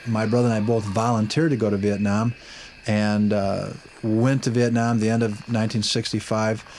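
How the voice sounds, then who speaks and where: A man's voice: lowish voice, little pitch movement, normal speed. An American man in his seventies, a veteran, recounting memories in a hesitant, matter-of-fact way. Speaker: war veteran. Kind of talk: personal reminiscence.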